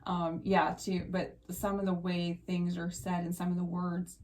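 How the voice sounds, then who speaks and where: A woman hosting a radio show, chatty and quick to laugh, talking casually with her guest about real estate. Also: fairly low pitch, monotone, darkish tone, a little hiss or hum.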